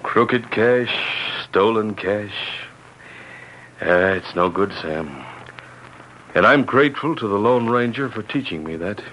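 Reformed outlaw turned rancher: fairly low, earnest, folksy old radio western, muffled sound. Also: male voice, lively intonation, takes it slowly. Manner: sincere, plain-spoken, folksy. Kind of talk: vintage radio western acting. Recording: muffled, slightly noisy vintage radio recording.

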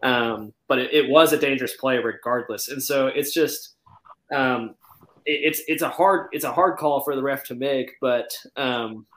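A podcast co-host giving his view haltingly, with many "um"s and false starts. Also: medium pitch, fairly bright tone. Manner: hesitant, many "um"s and restarts. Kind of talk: casual sports debate. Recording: echoey room.

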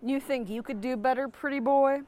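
A woman's voice: high-pitched, steady tone, moderate pace, a little mellow, lightly acted. A woman playing a mocking, mercenary fantasy adventurer with dry sarcasm in a comedic audio drama. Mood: brash, teasing.

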